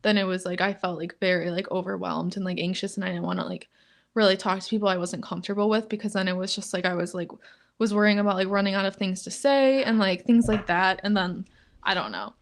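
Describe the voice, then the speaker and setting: A young woman in her twenties co-hosting a podcast in casual chat, talking in a flat monotone and filling nearly every phrase with "like". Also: fast talker. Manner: says "like" constantly, flat and low-key, laughs often. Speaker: podcast co-host.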